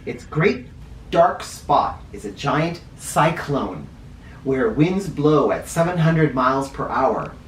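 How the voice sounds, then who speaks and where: A man reads a children's picture book aloud in a roomy, echoey space, a little way from the mic. He has a medium-pitched voice and explains as he goes, slipping into informal asides. Faint noise sits under the recording. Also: very animated, slow pace, distant mic. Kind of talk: read-aloud storytelling with teaching asides.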